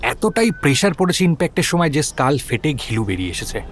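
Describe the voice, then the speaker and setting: A man playing a sharp, deferential junior police officer in an audio drama, reporting analytically and mixing in English words. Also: fairly high pitch, sing-song, fast talker, a little hiss or hum. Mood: analytical, assured. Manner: reporting to a superior, peppers speech with English. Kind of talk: detective audio drama acting.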